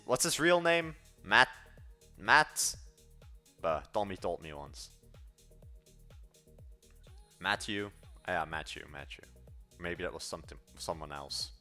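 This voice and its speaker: A man's voice: lowish voice, pushed and bright. An eighteen-year-old man chatting casually on a live stream, rambling and trailing off mid-thought. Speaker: livestreaming coder.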